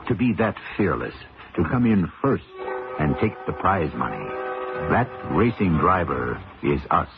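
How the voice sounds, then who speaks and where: A man's voice: low voice, narrow, tinny audio, background noise. A man hosting and narrating a radio drama in a theatrical, rhetorical manner, on an old broadcast recording. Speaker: host and narrator. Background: music.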